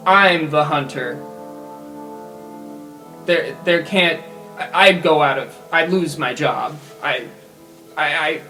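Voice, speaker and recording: A man playing a laconic, guarded mercenary assassin in a tabletop game, with a deadpan manner. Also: a little high, takes it slowly, long pauses, soft background music, exaggerated voice. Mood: defensive, matter-of-fact. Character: laconic mercenary assassin who enjoys killing.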